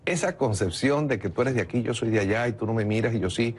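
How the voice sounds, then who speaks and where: A man's voice: medium pitch, fast, a little hiss or hum. A man from Venezuela, a political consultant arguing his point insistently in a heated TV interview.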